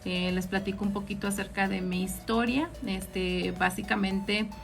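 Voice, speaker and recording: A woman's voice: mid-range voice, little pitch movement, normal speed, creaky, soft background music. A woman with a northern Mexican accent hosting an informal live question-and-answer session, explaining things directly to her listeners. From Ciudad Juárez, Mexico. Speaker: host, accountant answering audience questions.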